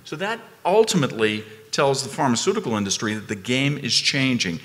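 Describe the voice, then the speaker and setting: A man speaking on a conference panel, emphatic and animated, repeating phrases as he builds a point. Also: mid-range voice, fairly quick, in a large hall. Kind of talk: panel discussion. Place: conference ballroom stage.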